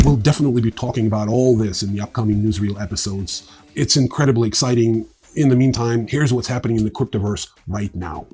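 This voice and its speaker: A man's voice: fairly low pitch, dark tone, slightly rough. A man hosting a news show, delivering informal scripted commentary in a blunt, wry, opinionated manner. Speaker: host of a crypto news show.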